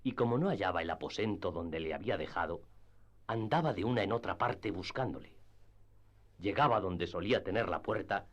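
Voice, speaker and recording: A man narrating a classic novel for radio drama in a measured storytelling manner. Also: sits low.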